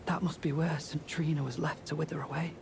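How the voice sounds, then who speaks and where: Creaky-voiced, hesitant fantasy wanderer. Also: female voice, low-pitched, fairly even intonation, a little fast, background noise. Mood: hesitant, resolved. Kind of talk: fantasy game acting.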